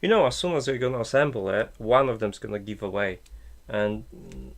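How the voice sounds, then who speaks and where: Gravelly man muttering while he tinkers. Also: lowish voice, brisk. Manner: thinks aloud, muttering, slightly broken English. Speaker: maker streamer. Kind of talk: casual live-stream chat while building.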